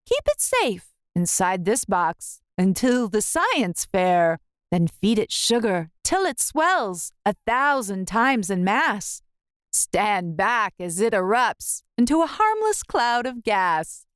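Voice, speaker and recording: A woman's voice: medium pitch, big pitch swings, slow, clean tone, no echo, studio quality. A woman voicing a grand, salesy advert and warning label in a children's storybook. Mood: cautionary.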